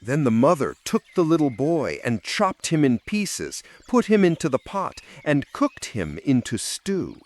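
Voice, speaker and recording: Slow, expressive male storyteller. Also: fairly high pitch. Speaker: audiobook narrator. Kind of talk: dramatic fairy tale narration.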